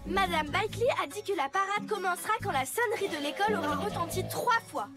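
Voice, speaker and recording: An actor voicing an excited, chatty young vehicle schoolchild in a French-dubbed children's cartoon. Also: very high-pitched, a little fast. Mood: reminding. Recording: loud music behind.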